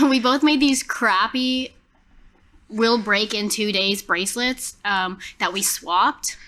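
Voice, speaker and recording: Bright, slow-talking woman in a tabletop game. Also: a little high. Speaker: tabletop roleplaying player. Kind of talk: casual improvised storytelling.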